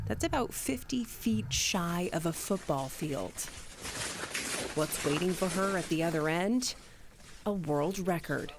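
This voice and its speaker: A woman TV news reporter reading a scripted story narration in a measured, polished way. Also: lowish voice, background noise. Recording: narration with clear background ambience.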